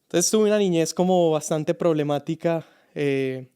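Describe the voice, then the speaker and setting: A man from Colombia, a youth pastor telling his story as a podcast guest, reflective and halting. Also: on the high side, warm tone, clear, resonant tone. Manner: reflective, halting with many restarts. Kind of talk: casual podcast conversation.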